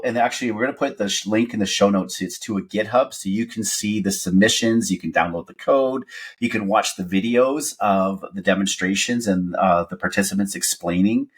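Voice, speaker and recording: Deep, husky-voiced man podcaster. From Canada. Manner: rambling, hesitant, lots of fillers and restarts. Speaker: podcast co-host. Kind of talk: casual podcast chat.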